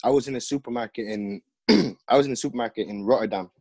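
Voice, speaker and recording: A young man from the Netherlands, a music artist and podcast guest, telling stories in relaxed, slangy casual chat with lots of fillers. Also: mid-range voice, fast, dry recording.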